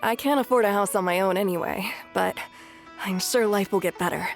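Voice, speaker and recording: A woman's voice: medium pitch, brisk, natural voice. A woman voicing a modest, hopeful young townswoman in a video game. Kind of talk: scripted video game voice acting. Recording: clear music behind.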